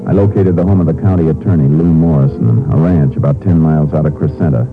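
A man's voice: extremely low pitch, quick pace. An American man playing a firm, terse Texas Ranger in a 1950s radio drama, speaking in a clipped, authoritative way. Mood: grim, steady. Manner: clipped, matter-of-fact, authoritative. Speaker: lead actor of a radio crime series. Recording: muffled, noisy old radio broadcast recording.

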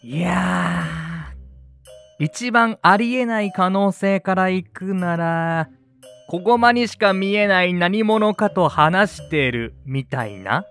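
A man playing an excitable, easily startled young father in an amateur radio drama, talking casually and animatedly. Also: sits high, slow pace, faint music behind, heavily acted. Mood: casual, puzzled.

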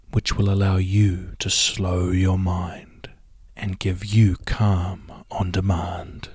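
A man guiding a hypnosis and relaxation session in a soft, soothing, often half-whispered delivery. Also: deep voice, no echo, clean studio sound. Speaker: hypnotherapy guide. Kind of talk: guided hypnosis and relaxation script.

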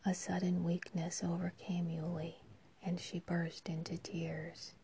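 A woman narrating a story, reading the whole time in a soft, hushed whisper. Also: fairly low pitch, completely flat delivery, measured pace, breathy edge.

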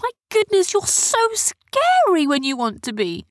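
An earnest young child from a storybook adventure, played by a woman reader. The voice sits higher and a little breathy, eager and full of questions. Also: animated, moderate pace, a little mellow, no echo, clean studio sound, natural voice. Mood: amazed.

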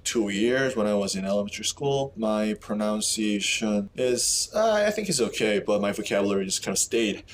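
A man's voice: fairly low pitch, animated, normal speed, few pauses, a little hiss or hum. Korean-accented man in his thirties. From South Korea. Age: 30s. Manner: hesitant, lots of fillers and restarts. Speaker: podcast guest. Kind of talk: casual interview chat.

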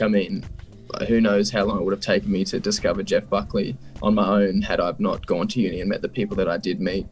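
Laid-back young man, low voice, soft music behind. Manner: relaxed, laughs often, many ums. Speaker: musician guest. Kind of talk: casual interview chat.

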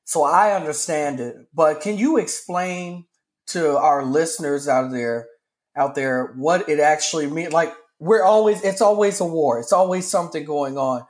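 A man's voice: a little high, moderate pace. A man hosting a podcast, asking his guests friendly, curious questions in casual talk. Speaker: podcast host.

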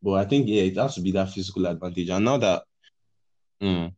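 A man's voice: sits low, a little fast, a little mellow, highs cut off. A Nigerian man with a Nigerian accent hosting a podcast in casual, rambling chat, often repeating words and laughing. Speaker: podcast host.

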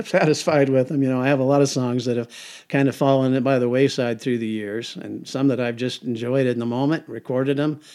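An older man talks through his songwriting habits in a loose, meandering way. He restarts phrases, repeats words and laughs softly to himself. His voice sits at an ordinary middle pitch. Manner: rambling, repeats words, chuckles often. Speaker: singer-songwriter guest. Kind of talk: casual interview answers.

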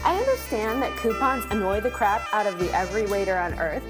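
A woman's voice: mid-range voice, animated, brisk, loud music behind the voice, lightly acted. A woman performing a dramatic comic reading as an exasperated, snarky young female restaurant reviewer, theatrical and emphatic. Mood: indignant. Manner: melodramatic, sardonic, emphatic.

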